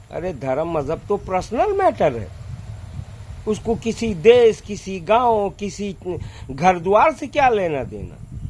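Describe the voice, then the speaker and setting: Indian guy ranting off the cuff, high voice, slow delivery, sarcastic scolding. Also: slight background noise. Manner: rhetorical questions, repetitive chanting phrases. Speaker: vlogger giving political commentary. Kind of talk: impassioned unscripted monologue to listeners.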